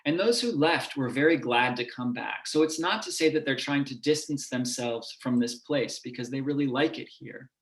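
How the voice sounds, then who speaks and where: Lecturing man in an echoey room. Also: mid-range voice. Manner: measured and explanatory, with occasional restarts. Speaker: university linguistics professor giving a public talk. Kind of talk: lecture presentation.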